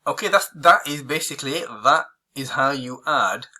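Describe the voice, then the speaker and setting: A man walking viewers through a software tutorial, hoarse and losing his voice, rambling with many fillers and restarts. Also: medium pitch, moderate pace. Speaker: online marketing tutor. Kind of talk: casual screen-recorded tutorial.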